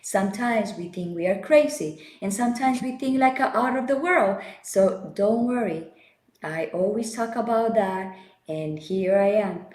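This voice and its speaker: A woman's voice: medium pitch, measured pace, a little mellow, roomy sound. A woman in her forties hosting a casual talk show, enthusiastic and laughing often. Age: 40s. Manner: enthusiastic, laughs often, non-native phrasing. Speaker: podcast host. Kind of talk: casual chat.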